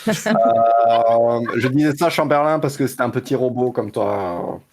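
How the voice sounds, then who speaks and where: A man taking part in a tabletop game with a wry, joking manner. His voice sits at a middle pitch and his questions come casually. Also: unhurried, a little mellow, slight background noise. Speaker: player.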